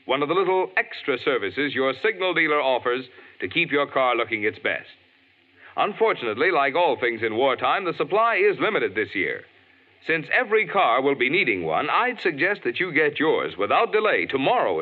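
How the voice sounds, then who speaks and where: A man reading a friendly, persuasive scripted ad as a radio announcer. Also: fairly high pitch. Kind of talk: scripted ad read. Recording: tinny old radio broadcast.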